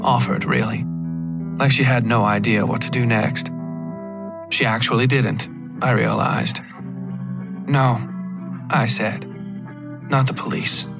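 A man narrating scripted dark fiction as a podcast host, with music behind him. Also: medium pitch, creaky.

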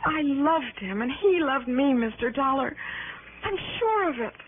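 An actress playing a frightened, earnest young wife in a 1960s radio drama, speaking in an anxious, halting, pleading way. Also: female voice, sits high, expressive. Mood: earnest, defensive. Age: young adult. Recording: tinny 1960s radio broadcast, faint noise.

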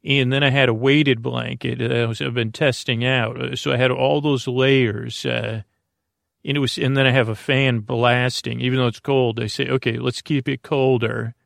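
An American man hosting a bedtime podcast, rambling along in a halting, meandering way and often trailing off mid-thought. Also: mid-range voice, flat delivery, gravelly, fairly bright tone, no echo, clean studio sound. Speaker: host of a bedtime story podcast.

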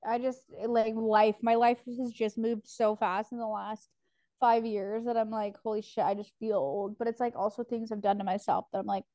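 A woman's voice: a little high, flat delivery, normal speed, warm tone, dry recording. A woman in her twenties co-hosting a podcast, telling a long story in a rambling, casual way, full of "like" and swearing. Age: 20s. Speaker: podcast co-host. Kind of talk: casual chat.

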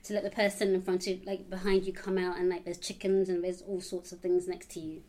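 A woman's voice: lowish voice, little pitch movement, fairly quick, dark tone. A young woman from Uganda, chatting casually with many fillers. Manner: chatty, lots of likes and ums. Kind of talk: casual chat.

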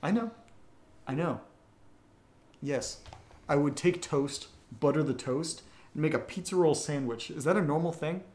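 A man's voice: on the high side, unhurried, pauses often, darkish tone. A man co-hosting a casual podcast Q&A, joking around and steering the chat back to the questions. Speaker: podcast host reading patron questions. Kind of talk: casual Q&A banter.

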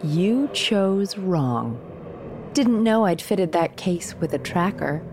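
A woman playing a cold, vengeful mercenary in an audio spy thriller, menacing, deliberate and sardonic. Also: lowish voice, lively intonation, a little mellow, a little hiss or hum. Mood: cold, bitter. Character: cold, vengeful female mercenary holding people at gunpoint.

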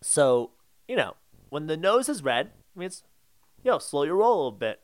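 A man's voice: fairly high pitch, very animated, moderate pace. A man co-hosting a comedy podcast, insisting on his reading of song lyrics with mock exasperation. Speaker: podcast co-host. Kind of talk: comedic banter, song analysis.

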